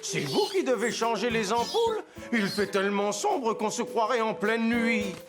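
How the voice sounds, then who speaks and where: A man's voice: extremely high pitch, sing-song, moderate pace. A man voicing a querulous, nearsighted male tenant in a cartoon dub. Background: music.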